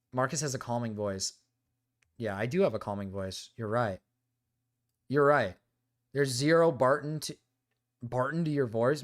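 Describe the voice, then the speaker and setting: A young man chatting on a live stream, his voice sitting in an ordinary middle pitch. He gets worked up fast, cursing and protesting loudly, then drops to quiet muttering between bursts. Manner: excitable, swears, swings from loud indignation to mumbling. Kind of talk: casual reaction stream chat.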